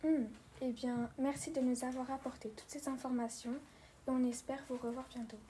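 A woman interviewer asking flat, scripted questions. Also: high voice, normal speed, slight background noise.

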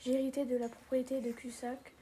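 A woman playing a fifty-year-old male hobbit who loves his tea, answering plainly and calmly in an amateur role-play interview. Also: high-pitched, fast, slight background noise, close to an everyday voice.

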